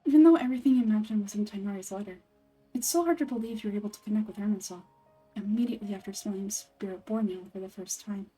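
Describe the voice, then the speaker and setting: A woman's voice: mid-range voice, fast talker, warm tone, faint music behind, close to an everyday voice, low-quality recording. A woman voicing an earnest, scholarly young woman researcher in game dialogue, speaking formally and articulately. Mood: explaining, serious. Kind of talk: video game dialogue read-through.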